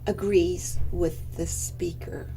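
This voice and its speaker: A middle-aged woman lecturing from a recording studio, talking slowly with many pauses and fillers and putting questions to her listeners. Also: fairly low pitch, little pitch movement, vocal fry, warm tone, in a whisper, faint music behind, low-quality recording. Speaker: university lecturer.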